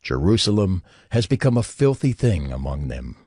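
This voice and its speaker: A man narrating scripture in a solemn, dramatized style, with grave, measured, dramatic phrasing. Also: low voice, very animated, dark tone, breathy edge, no room sound, clean studio sound. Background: music behind the voice.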